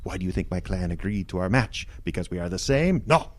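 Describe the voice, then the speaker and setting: A man's voice: sits low, expressive, brisk. An actor playing a pushy, domineering merman suitor in a comic audio drama, with earnest, formal, old-fashioned diction. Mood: preachy, domineering.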